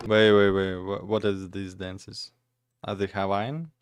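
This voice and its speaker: A man's voice: deep voice, level tone, a little slow, faint music behind. A man from Russia, giving casual offhand reaction commentary on a live stream. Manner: offhand remarks, loose grammar.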